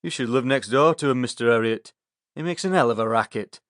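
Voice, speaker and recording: A man's voice: mid-range voice, a little fast, fairly bright tone, no echo, clean studio sound, natural voice. A man voicing a bitter, fed-up village smallholder with a Yorkshire accent in an audiobook. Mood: bitter, complaining.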